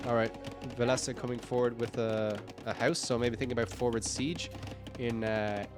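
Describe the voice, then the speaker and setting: A male esports caster whose voice has gone croaky from hours of streaming. He talks through the game in an upbeat, rambling play-by-play, correcting himself as he goes, with game music playing behind him. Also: medium pitch, fairly even intonation, moderate pace. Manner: rambling and upbeat, frequent "uh" fillers, self-corrections. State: croaky, tired voice late in a long stream. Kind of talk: live play-by-play game commentary.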